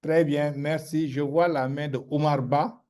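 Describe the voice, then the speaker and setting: A man moderating an online meeting, explaining calmly and growing animated when he tells an anecdote. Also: a little high, unhurried.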